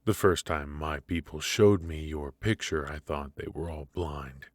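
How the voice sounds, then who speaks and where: A man playing a teasing, menacing mafia boss in an ASMR roleplay, speaking in a halting, mock-polite, softly taunting way. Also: very deep voice, dry recording, clean studio sound. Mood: flirtatious, intrigued.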